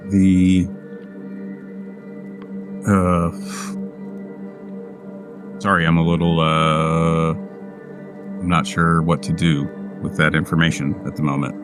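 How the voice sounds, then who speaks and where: A man's voice: very deep voice, flat delivery, slow pace, long pauses, music in the background. A man talking casually as the host and a player on a tabletop gaming show. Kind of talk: casual show intro and game talk.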